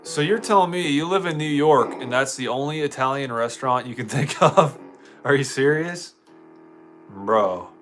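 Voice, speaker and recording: A man's voice: medium pitch, lively intonation, moderate pace, fairly bright tone, faint music behind. An American man chatting casually with viewers on a live game stream, laid-back, slangy and joking.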